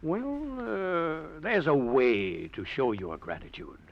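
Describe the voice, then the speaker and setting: An actor playing a scheming, smug professor in an old-time radio mystery, insinuating, sardonic and mock-polite. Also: male voice, fairly high pitch, expressive, takes it slowly, creaky, exaggerated voice. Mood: smooth, self-satisfied. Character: scheming, smug male professor, coolly blackmailing a colleague. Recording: muffled vintage radio drama recording.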